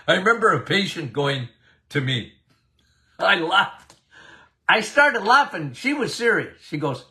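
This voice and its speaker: An older man, a doctor hosting a health podcast, speaking loudly and bluntly in a casual, emphatic monologue. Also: sits high. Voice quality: loud voice.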